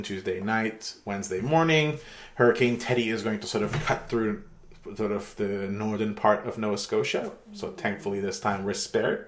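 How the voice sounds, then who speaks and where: A middle-aged man from Turkey giving a pre-recorded online university lecture in a relaxed, chatty way with many fillers. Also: lowish voice. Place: home room. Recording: echoey room.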